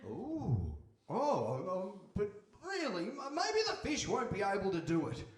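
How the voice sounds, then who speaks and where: A man's voice: high-pitched, huge pitch swings, measured pace, heavily acted. An actor playing a reluctant, henpecked fisherman with a groaning, hesitant manner in a live radio play. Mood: fretful. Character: reluctant, put-upon henpecked fisherman husband. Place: stage before a live audience in a hall. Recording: echoey hall, distant microphone.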